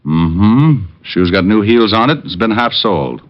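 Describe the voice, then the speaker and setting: A dull vintage radio recording carries a deep-voiced American gumshoe. He is tough and determined, talking in dry slang like a classic radio detective. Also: lively intonation, measured pace. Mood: dry, probing. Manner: hard-boiled slangy, dry wisecracks. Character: tough wisecracking male private detective, doggedly determined. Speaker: actor playing the lead detective and narrator. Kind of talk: 1940s-50s radio detective drama acting.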